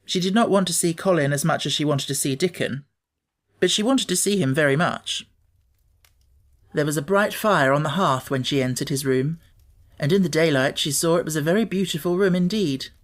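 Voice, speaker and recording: A man's voice: on the high side, moderate pace. A man reading scripted storybook narration as an audiobook narrator.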